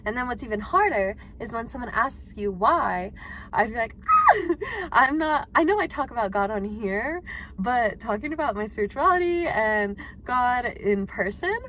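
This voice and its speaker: A woman in her twenties talking casually to her viewers, chatty and quick to laugh. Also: on the high side, slight background noise. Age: 20s. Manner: chatty, laughs often, animated. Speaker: vlogger. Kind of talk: casual talk to camera.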